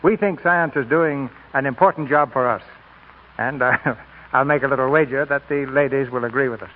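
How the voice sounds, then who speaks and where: An American man hosting and narrating a scripted 1940s radio theater show in a formal, measured way. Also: mid-range voice, phone-quality sound. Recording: thin old radio broadcast, music behind.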